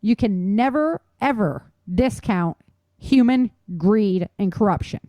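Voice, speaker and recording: A woman's voice: mid-range voice, animated, takes it slowly, mellow, no room sound. A woman co-hosting a podcast in casual conversation, speaking in an emphatic, opinionated way. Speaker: podcast co-host.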